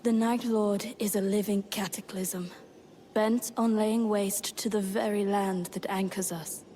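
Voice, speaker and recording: Woman as a slow, solemn game priestess. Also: mid-range voice, steady tone, warm tone, slight background noise, natural voice. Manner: formal, measured, grave delivery. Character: solemn, composed priestess, voice of a formless master. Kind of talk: scripted video game dialogue.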